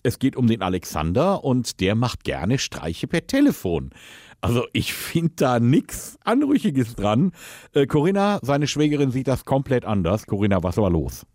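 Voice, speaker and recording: A man's voice: mid-range voice, sing-song, normal speed, a little mellow, no room sound, studio quality. A man talking casually and conversationally as the host of a prank call show.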